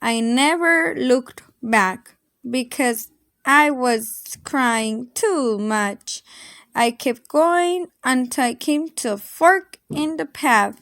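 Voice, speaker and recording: A woman reading a children's story aloud in an expressive, dramatic storytelling manner. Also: high-pitched, extremely slow, no echo, studio quality. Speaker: storybook reader.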